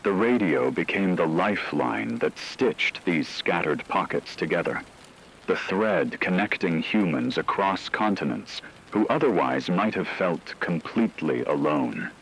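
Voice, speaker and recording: A man reads dramatic scripted narration in a solemn, measured way. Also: low-pitched, expressive, gravelly, fairly bright tone, a little hiss or hum, poor audio.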